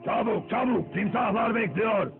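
A villainous, bossy cartoon film director. The voice is gravelly and pitched high, leaping around as he barks and schemes. It comes from a muffled, lo-fi soundtrack with music behind. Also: normal speed. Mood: bossy, barking. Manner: bossy barking, swinging to oily muttering. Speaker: cartoon voice actor. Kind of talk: theatrical Turkish cartoon dubbing.

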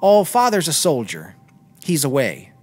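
A man's voice: a little high, huge pitch swings, measured pace, a little mellow, breathy edge, faint music behind, heavily acted. A man voicing a chatty, innocent little boy with eager, simple childlike speech in a story reading. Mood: friendly, open.

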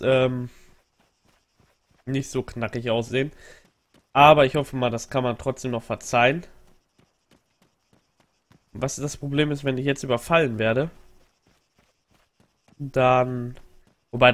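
A young man with a stuffy nose from a cold, rambling casually and thinking aloud while he streams a game on a headset mic at home. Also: mid-range voice. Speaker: livestreaming gamer. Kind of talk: casual let's play commentary. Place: home gaming setup indoors.

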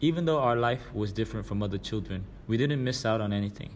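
A man's voice: lowish voice, fast, a little hiss or hum. A man reading a children's storybook aloud as scripted narration. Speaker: reader.

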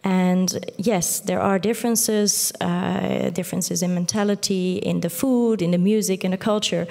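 A woman in her thirties, a journalist whose first language is Dutch, answering thoughtfully with many pauses on a stage panel. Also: mid-range voice, steady tone, gravelly, mellow. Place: theater stage with audience. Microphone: small headset mic.

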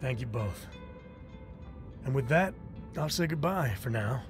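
Measured, diplomatic mercenary patron played by a man. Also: medium pitch, lively intonation, music in the background, close to an everyday voice. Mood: earnest, measured. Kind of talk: video game cutscene acting.

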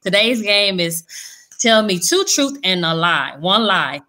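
A woman's voice: medium pitch, big pitch swings, measured pace, sharp tone. A woman in her thirties hosting an interview show over a video call from home, chatty and upbeat, laughing often. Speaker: show host. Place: home room indoors. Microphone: video call webcam.

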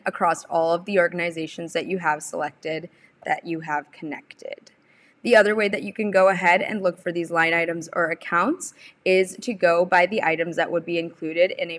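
A young adult woman presenting a software tutorial, explaining each step in an informal, instructional way. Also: fairly low pitch. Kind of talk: instructional screen-share walkthrough.

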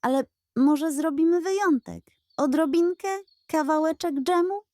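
A woman's voice: high-pitched, a little slow, warm tone, no room sound, clean studio sound, voice pushed up. A woman voicing a gluttonous, theatrical pug dog who pleads and sweet-talks in a children's audio tale. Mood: wheedling. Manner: pleading, dramatic, sweet-talking.

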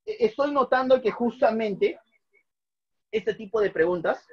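A man's voice: high voice, lively intonation, measured pace, slightly rough. Muffled man teaching a math class. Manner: patient, repeats each step, asks students questions. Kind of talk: online class at a whiteboard. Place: small room at a whiteboard.

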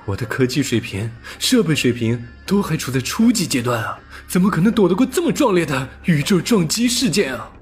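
Man playing exasperated male space traveller, audiobook drama, high strained pitch, wailing complaints, music underneath. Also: big pitch swings, a little fast, few pauses. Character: male space traveller Xiaoyu, aghast, exasperated, cursing fate. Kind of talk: audiobook character acting.

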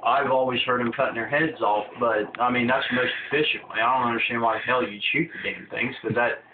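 A man's voice: medium pitch, fairly even intonation, quick pace. A young man making a prank phone complaint, rambling in a folksy, confused way with mild swearing. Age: young adult. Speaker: prank caller. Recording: tinny, noisy, roomy phone-camera recording.

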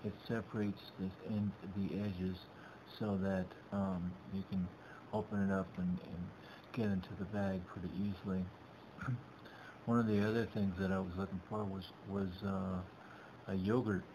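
A middle-aged man talks through his shopping in a loose, halting way, circling back and restarting his sentences. His voice is deep and flat, with a gravelly edge. The webcam recording sounds dull, with a steady hiss behind him. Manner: rambling, halting, many restarts. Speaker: vlogger. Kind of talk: casual narrated shopping vlog. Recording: dull lo-fi webcam audio with background noise.

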